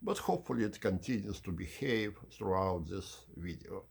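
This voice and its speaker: A man hosting an unhurried explanatory video tour in measured, slightly formal phrasing, with a husky, gravelly voice. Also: deep voice, animated. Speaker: channel host giving an audio system tour.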